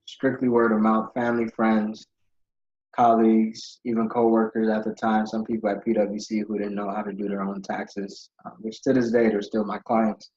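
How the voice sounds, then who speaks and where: Low-voiced man from Ghana, rambling. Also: level tone, moderate pace, a little mellow. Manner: rambling, with many fillers and restarts. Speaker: podcast guest, accountant. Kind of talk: casual interview storytelling. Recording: dull lo-fi audio.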